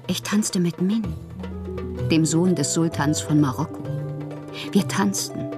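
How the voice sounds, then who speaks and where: An actress playing a passionate, capricious bohemian woman in a literary radio drama, theatrical and intimate, with a breathy voice. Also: on the low side. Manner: theatrical, intimate, often dropping to breathy murmurs. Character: dreamy, passionate, capricious bohemian woman writing love-struck letters.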